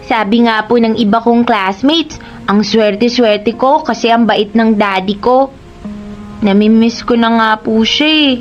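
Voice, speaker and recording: Woman acting high-voiced innocent little boy. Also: a little slow, lo-fi sound, soft background music, natural voice. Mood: pleading, wistful. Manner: childlike, polite, pleading. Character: young boy Liam, innocent, polite, hurt child. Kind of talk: Tagalog radio drama acting.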